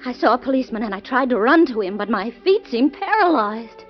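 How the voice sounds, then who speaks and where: A frightened, pleading wife in old radio drama. Her high voice is earnest and plaintive. It comes through a dull recording with some music. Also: very animated, brisk. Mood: anxious recounting. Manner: earnest, plaintive, emphatic repetition. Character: Helen, terrified wife recounting a nightmare.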